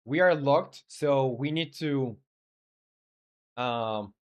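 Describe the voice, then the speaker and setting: A man whose first language is Spanish, a game developer chatting casually on a live stream. He thinks aloud in halting bursts, with frequent hesitations and restarts. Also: mid-range voice. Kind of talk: casual live-stream chat and coding talk.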